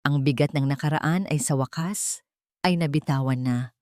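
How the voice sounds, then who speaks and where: A woman's voice: sits low, normal speed, darkish tone, no room sound, clean studio sound. A woman reading a dramatic scripted story as a storyteller.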